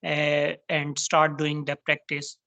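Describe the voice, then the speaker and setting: A woman's voice: deep voice, completely flat delivery, takes it slowly, pushed and bright. A woman with a Pakistani accent, a native Urdu speaker, talking plainly with some fillers as a guest in an online English chat. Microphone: video call.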